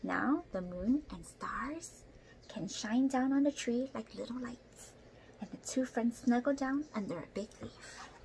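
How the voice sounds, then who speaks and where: A woman's voice: on the high side, unhurried, a little mellow. A young woman reading a picture book aloud expressively, with asides to young listeners. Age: young adult. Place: small indoor room at home. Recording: noisy home room recording.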